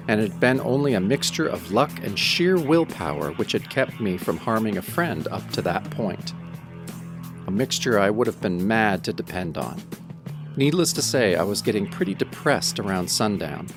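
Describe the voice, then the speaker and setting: Male actor as young werewolf narrator for audio drama: low voice, wry, introspective, music underneath. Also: natural voice. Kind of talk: first-person audio drama narration, old radio show style.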